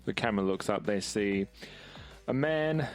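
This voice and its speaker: Male actual-play player, describing his character, slightly low voice, music underneath. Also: expressive, normal speed. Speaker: tabletop game player.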